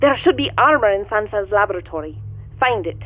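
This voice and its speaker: A woman playing an imperious, mysterious woman from another universe in a pulp radio drama, her sweet, deliberate voice heard through a radio filter. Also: medium pitch, animated, slight background noise. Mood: urgent, commanding. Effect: radio transmission filter. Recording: thin radio-filtered voice, narrow band. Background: music.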